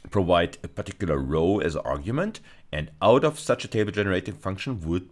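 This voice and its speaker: Very deep-voiced man lecturing, lively intonation. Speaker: university lecturer. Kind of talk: lecture explaining slides.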